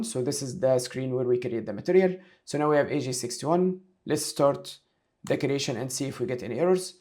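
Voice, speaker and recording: A man giving a step-by-step software tutorial, talking through each action aloud as an instructor. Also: medium pitch. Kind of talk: screen-share tutorial, explaining step by step.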